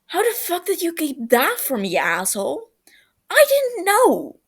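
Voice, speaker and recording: A woman reading a story as a brash, boastful and excitable teenage boy, loud, dramatic and quick to get defensive. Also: very high-pitched, voice pushed up, full character voice.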